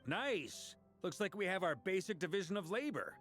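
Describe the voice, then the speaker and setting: Lively, rallying knight commander. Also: male voice, high-pitched, normal speed, faint music behind. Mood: upbeat, commanding. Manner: informal, rousing pep-talk delivery. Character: confident, rallying male knight commander, easygoing and gutsy. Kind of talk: video game voice acting.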